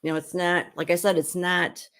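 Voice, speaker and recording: A middle-aged woman who is hoarse while getting over an illness, chatting casually as she hosts a live skincare demonstration at home. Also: on the low side, level tone, moderate pace, fairly bright tone. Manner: chatty and rambling, with frequent restarts. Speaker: beauty consultant and show host.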